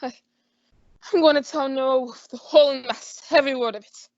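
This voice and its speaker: A jittery, stammering man with something to hide, played by a young woman, in a high, strained voice. The sound is dry and somewhat dull, like a school radio play. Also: steady tone, fairly quick, a little mellow, no room sound. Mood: flustered. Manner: flustered and stammering. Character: nervous, flustered man hiding something. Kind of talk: old-time radio drama acting.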